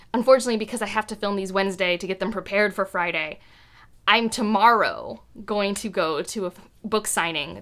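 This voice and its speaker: A woman's voice: mid-range voice, a bit bright. A woman chatting casually to camera about books, enthusiastic and rambling off into asides as she goes. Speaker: book vlogger.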